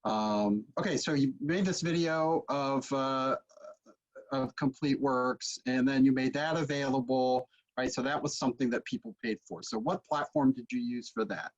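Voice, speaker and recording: A man's voice: medium pitch, moderate pace. A man in his forties casually asking questions as moderator of an online session, over a video call. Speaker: webinar moderator. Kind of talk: casual interviewing. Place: book-lined home study, video call. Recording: dull, lo-fi video-call audio.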